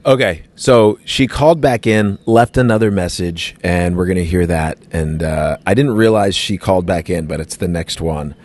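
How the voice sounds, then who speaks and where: A man's voice: deep voice, fairly even intonation, normal speed, hardly any pauses, a little mellow. A man hosting his own podcast, talking in a loose, rambling, self-mocking way. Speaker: podcast host. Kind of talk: casual podcast chat.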